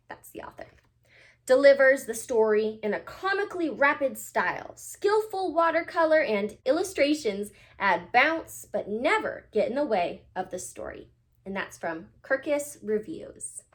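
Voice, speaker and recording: Animated, higher-pitched woman reading to children. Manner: expressive, emphatic, addressing children directly. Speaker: teacher reading aloud to children. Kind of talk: animated storybook read-aloud and lesson for young children.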